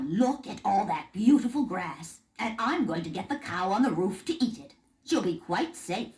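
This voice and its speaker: A woman's voice: medium pitch, darkish tone, close to an everyday voice. A woman playing a foolish, self-assured cottage woman, explaining earnestly on an old roomy story record.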